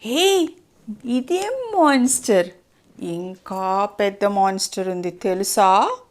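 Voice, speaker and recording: A woman voicing a bossy, excitable crow calling out in a children's story. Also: mid-range voice, slow. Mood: urgent, teasing.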